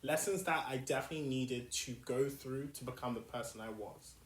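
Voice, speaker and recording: A young man with a South London accent hosting a podcast, talking through his past in a thoughtful, reflective solo monologue full of pauses and restarts. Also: medium pitch, a little hiss or hum. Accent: South London English. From South London, England. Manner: thoughtful, with frequent fillers and restarts. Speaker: podcast host. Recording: echoey room.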